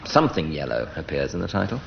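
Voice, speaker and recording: A man's voice: extremely low pitch, sing-song, fast talker, gravelly. A man bantering and improvising as a panellist on a radio comedy game. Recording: dull, very noisy old radio recording. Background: loud studio audience.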